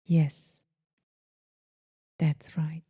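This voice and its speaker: A woman guiding a meditation in a gentle, soothing, deliberate manner, leaving pauses between phrases. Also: sits low, monotone, husky. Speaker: meditation guide. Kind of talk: guided meditation.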